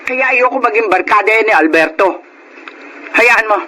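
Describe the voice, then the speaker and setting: A woman's voice: fairly high pitch, animated, normal speed, bright, forceful tone, a little hiss or hum, rough recording. A woman playing a protective, scolding older Filipino mother and grandmother in a radio drama, emphatic and indignant.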